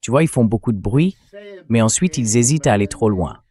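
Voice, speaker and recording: A man's voice: mid-range voice, lively intonation, a little fast, mellow. A man's synthetic AI voice-over delivering conversational, explanatory political commentary as a dubbed interview.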